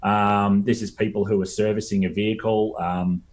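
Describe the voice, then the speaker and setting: Low-pitched, halting Australian man. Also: little pitch movement, a little mellow. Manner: halting, hesitant phrasing with frequent ums. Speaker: interview guest, apprenticeship expert. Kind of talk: explanatory interview answers.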